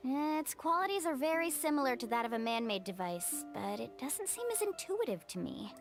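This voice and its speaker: A woman's voice: sits high, very animated, normal speed, over music. A woman playing an upbeat, brave young female adventurer in a fantasy video game, confident and encouraging. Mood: focused. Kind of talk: animated video game voice acting.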